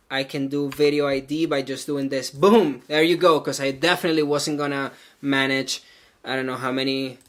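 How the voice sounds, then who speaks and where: A man's voice: mid-range voice, fairly bright tone. A man from Venezuela, a native Spanish speaker, chatting casually with viewers as he codes live, thinking aloud and filling his talk with "like" and "um". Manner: chatty and loose, full of "like" and "um", thinks aloud while coding. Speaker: live coding streamer.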